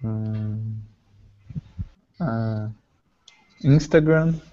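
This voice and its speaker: A man in an online English class, speaking halting, hesitant English. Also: on the low side, muffled recording. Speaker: student.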